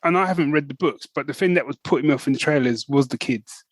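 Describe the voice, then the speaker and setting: A man's voice: a little high, fast, no room sound, clean studio sound. A man co-hosting a podcast, chatting casually and agreeing often with short replies. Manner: agreeable, frequent short backchannels. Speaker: podcast co-host. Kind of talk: casual chat.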